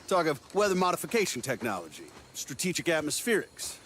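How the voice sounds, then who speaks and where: An actor playing a breezy, smooth-talking older agency operative with a folksy, wheedling manner in a video game cutscene. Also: male voice, a little high, sing-song, a little fast, a bit bright, a little hiss or hum. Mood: casual, explaining.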